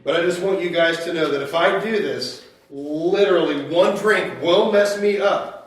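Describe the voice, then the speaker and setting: A man acting a sheepish, hapless office worker in a comedy sketch, whining and complaining with sudden loud outbursts. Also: high-pitched, normal speed, lightly acted. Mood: anxious, reluctant. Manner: exasperated, whiny complaining, swinging into loud outbursts. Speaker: sketch performer. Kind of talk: live comedy sketch acting in old-time radio variety style. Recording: very echoey room, far from the mic.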